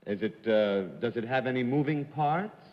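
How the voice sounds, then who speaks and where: Panelist man, joking, halting questions, muffled old broadcast, long studio reverb. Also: medium pitch. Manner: wisecracking, hesitant questions with many fillers. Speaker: game show panelist. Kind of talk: quizzing a contestant on live TV. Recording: dull 1950s broadcast audio with long reverb.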